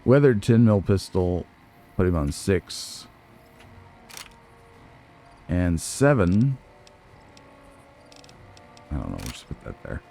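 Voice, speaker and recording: A man with a low voice and lively, swinging intonation hosts a casual game playthrough. He muses to himself in a self-deprecating way, with music playing behind him. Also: slow pace, vocal fry, pauses often. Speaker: gaming video host, let's player.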